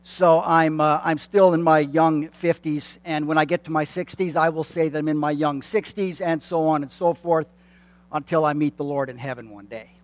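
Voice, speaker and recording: A man's voice: on the high side, steady tone, normal speed. A man in his late fifties, a church consultant, addressing a congregation in a friendly, self-deprecating way with many fillers and restarts. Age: 50s. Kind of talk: announcements to a congregation. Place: church sanctuary.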